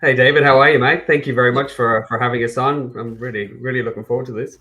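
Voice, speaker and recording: A man's voice: mid-range voice, steady tone, fast talker, bright, forceful tone, soft background music. A middle-aged man with a Kerry Irish accent, a guest in a casual podcast interview, joking at his own expense and restarting his sentences often. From Ireland. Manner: self-deprecating humor, frequent restarts and fillers.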